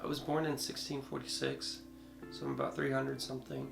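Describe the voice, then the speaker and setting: A man's voice: medium pitch, level tone, fairly quick, creaky. A man in his twenties chatting casually and jokingly as a video co-host, answering viewer questions. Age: 20s. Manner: joking, bantering. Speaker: YouTube co-host. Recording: echoey room. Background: background music with plucked guitar.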